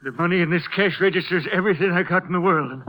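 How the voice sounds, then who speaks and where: An actor playing an aging small-town store owner, folksy and stubborn, in an old-time western radio drama. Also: male voice, sits high, rapid-fire, bright, forceful tone, poor audio. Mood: nervous. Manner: folksy, hesitant country speech.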